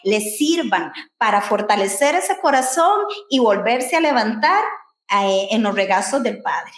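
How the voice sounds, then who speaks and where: A Costa Rican woman hosting a podcast, speaking in a lively, upbeat way straight to her listeners. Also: a little high, measured pace. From Costa Rica. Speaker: podcast host. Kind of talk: casual podcast chat. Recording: roomy echo.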